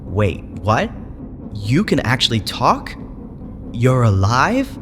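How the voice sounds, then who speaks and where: A man playing an earnest, bewildered young space adventurer in an audio drama, halting and incredulous. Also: mid-range voice, huge pitch swings. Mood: astonished. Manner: halting, incredulous, stammering questions. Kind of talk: full-cast audio drama acting. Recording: clear noise bed behind the voice.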